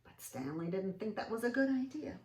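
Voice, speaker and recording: A woman reading a storybook aloud to young children in an expressive way, with little interjections and questions to her listeners. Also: mid-range voice, fairly quick. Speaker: children's read-aloud host. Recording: roomy, echoing sound.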